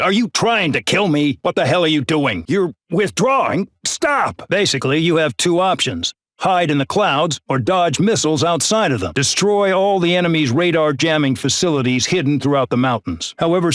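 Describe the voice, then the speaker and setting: A man's voice: fairly high pitch, fairly bright tone, no echo, clean studio sound. A man voicing an arrogant, bullying male air base colonel in a game, barking brusque, contemptuous orders. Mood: panicked. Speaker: military commander. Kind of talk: game voice acting.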